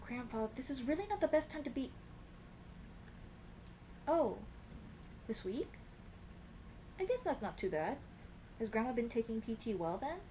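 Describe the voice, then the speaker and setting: A woman's voice: fairly high pitch, lively intonation, a little slow, pauses often, mellow, slight background noise, poor audio. A young woman playing a shy, teasing tomboy childhood friend in love, in a casual, hesitant and intimate ASMR roleplay. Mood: embarrassed, fond. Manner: playful, bashful, hesitant, casual dude-talk. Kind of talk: ASMR roleplay acting, intimate girlfriend scene.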